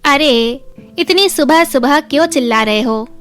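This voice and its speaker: A woman's voice: sits high, a little slow, fairly bright tone, soft background music. A woman voicing a practical, mildly nagging village milk-seller wife in a children's story, with a homely manner. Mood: chiding. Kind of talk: children's moral story dialogue.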